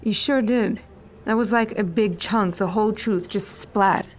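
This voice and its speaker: A woman playing a dry, skeptical assassin in an audio drama, questioning in a deadpan way. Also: medium pitch, measured pace, slight background noise, close to an everyday voice. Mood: wry.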